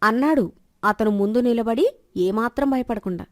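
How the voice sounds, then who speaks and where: A woman's voice: a little high, normal speed, no room sound, clean studio sound. A woman narrating a scripted moral story.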